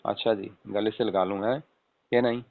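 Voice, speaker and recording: A man's voice: fairly low pitch, steady tone, normal speed, vocal fry. A man playing an affectionate young husband on a casual late-night call, coaxing and often asking for agreement. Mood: tender, affectionate. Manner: coaxing, repeatedly seeking agreement with tag questions. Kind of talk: acted casual phone conversation with spouse. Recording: tinny phone-call audio with room echo.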